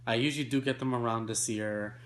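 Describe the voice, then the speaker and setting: A congested, stuffy-nosed man giving a remote technology news segment, rushing through it in a chatty way with many fillers. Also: mid-range voice, fairly even intonation. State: congested, nasal.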